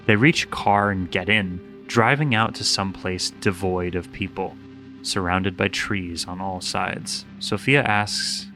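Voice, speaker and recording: Male video essayist, low forceful voice, informal and opinionated commentary with background music. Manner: informal, opinionated, mild swearing. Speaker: host. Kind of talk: video essay commentary with scripted story recap and casual asides. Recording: music under the voice.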